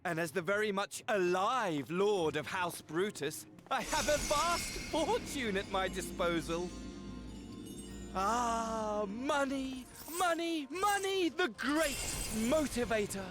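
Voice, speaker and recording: A theatrical male villain for a video game, gloating in florid, courtly phrases. His high-set voice swoops widely and takes its time over every mocking word. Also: lively intonation, music in the background. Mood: gleeful relish. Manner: florid, grandiose, drawn-out phrasing. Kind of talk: video game cutscene acting.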